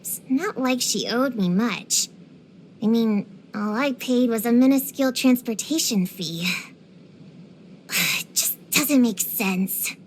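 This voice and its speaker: Precocious little kid for a video game, high pitched, dramatic and earnest, some noise behind it. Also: female voice, slow pace. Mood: grumbling, puzzled. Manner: earnest, theatrical, uses grown-up vocabulary. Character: precocious, feisty, dramatic little girl witch hunter. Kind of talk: scripted video game character voice lines.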